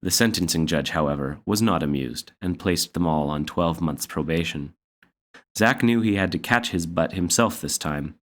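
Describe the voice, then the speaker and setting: Low-voiced man reading a story. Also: moderate pace. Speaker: story reader. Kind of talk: read-aloud children's story narration.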